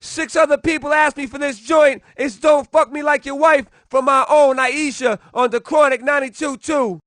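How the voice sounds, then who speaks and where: Brash high-voiced hip-hop radio DJ. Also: male voice, steady tone, normal speed, no echo, studio quality. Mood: hyped. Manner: punchy, slangy, promotional patter with shouts. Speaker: radio DJ host.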